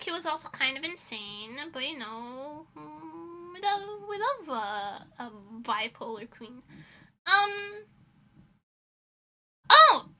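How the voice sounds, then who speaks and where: A woman chatting casually on a livestream in a playful, rambling way with animated asides, a native Portuguese speaker. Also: high voice. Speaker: streamer.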